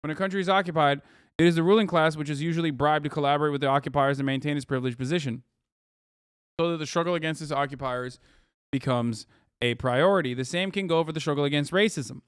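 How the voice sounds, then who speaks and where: A fairly high, smooth male voice recorded close and dry, as a streamer reacts to an article. He rants with heated sarcasm and frequent swearing, pausing on fillers before driving his point home. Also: no echo. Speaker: streamer host. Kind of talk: live stream reaction commentary.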